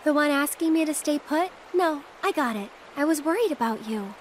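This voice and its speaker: A woman's voice: sits high, animated, moderate pace, slight background noise. An actress playing a playful, self-assured young woman with a casual, teasing manner in a video game cutscene. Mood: breezy, reassuring. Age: young adult.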